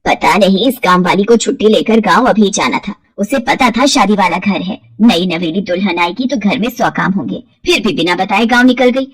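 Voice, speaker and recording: Woman voicing a sharp-tongued, fretful mother-in-law. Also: medium pitch, moderate pace, few pauses, husky, no echo, soft background music, voice pushed down, close to an everyday voice. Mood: irritated, fretful. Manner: complaining, scolding.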